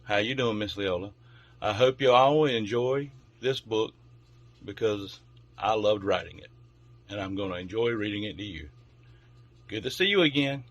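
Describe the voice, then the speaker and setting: A man's voice: on the low side, expressive, normal speed, pauses often, fairly bright tone, muffled recording. A man hosting a children's bedtime story show, speaking directly to young listeners as a storyteller. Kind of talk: friendly introduction, then read-aloud children's storytelling.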